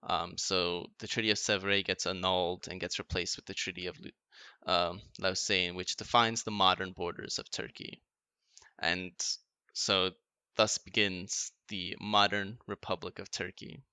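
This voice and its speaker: A man's voice: low-pitched, flat delivery, measured pace, bright, forceful tone, clean tone, no echo. A young man co-hosting a podcast, casually explaining history with lots of fillers. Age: young adult. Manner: explains events, loose with fillers. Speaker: podcast co-host.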